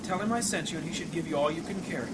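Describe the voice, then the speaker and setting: A man acting a gentle, troubled priest and family man in a fantasy audio drama, earnest, measured and devout. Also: a little high, very noisy, natural voice. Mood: gently instructing. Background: music.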